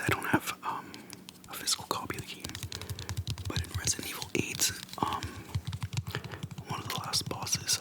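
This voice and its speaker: An ASMR host speaking in a soft, breathy whisper close to the microphone, chatting casually in a relaxed, halting way. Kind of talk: casual rambling game retrospective.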